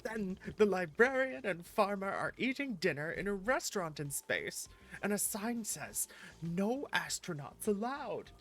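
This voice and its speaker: A woman voicing a gentle, jolly male tea-shop keeper from a video game, earnest and slightly formal. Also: medium pitch, big pitch swings, measured pace, soft background music. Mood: jovial, eager. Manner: slightly formal, quaint phrasing. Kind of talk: reading game dialogue in character.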